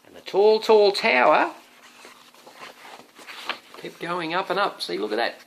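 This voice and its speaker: A man reading a children's storybook aloud in an animated, playful way, like a dad with questions for his young listener. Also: sits high, a little slow, creaky, a little hiss or hum, rough recording. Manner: dramatic, playful, often asking the listener questions. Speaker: father reading a picture book to his young son.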